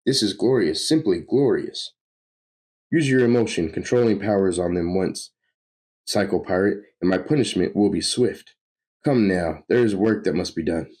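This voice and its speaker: A man's voice: low voice, moderate pace, darkish tone. A man voicing a noble, stern sorcerer lord in a grand, formal manner, reading from a comic book. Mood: proud stern. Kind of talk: comic book read-aloud.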